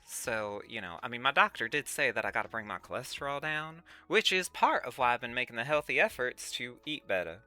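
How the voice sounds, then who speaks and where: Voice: medium pitch, animated, brisk, few pauses, sharp tone, faint music behind. A livestream host chatting casually with viewers in a put-on Southern US accent, a folksy Southern Belle drawl full of sugary terms of address. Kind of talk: casual livestream chat reading and answering viewers' comments.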